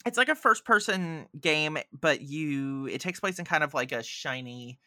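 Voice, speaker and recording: A man's voice: a little high, animated, a little slow, sharp tone, no room sound, studio quality. A man talking casually and enthusiastically about films and games on a podcast, in a rambling, informal way. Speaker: podcast speaker. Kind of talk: casual film and video game discussion.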